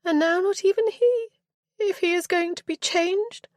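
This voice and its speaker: A woman voicing a petulant, self-centred young Regency heiress who talks in a high, sulky, easily wounded way. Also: normal speed, dry recording, studio quality. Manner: sulky, high-strung, rising to tearful.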